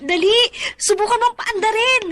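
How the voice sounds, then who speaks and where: A woman's voice: extremely high pitch, normal speed, a bit bright, slight background noise. A woman acting in a radio drama as a cheerful, supportive young sister, eager and encouraging. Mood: eager, happy.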